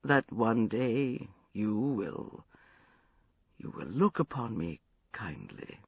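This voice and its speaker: Drunk lovelorn prince, played by a man: slurred, drawn-out pleading, husky and low. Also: wildly swooping pitch, no echo, heavily acted. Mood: mournful. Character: drunk, lovesick, melancholy male prince. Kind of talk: audiobook character acting. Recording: dull lo-fi recording.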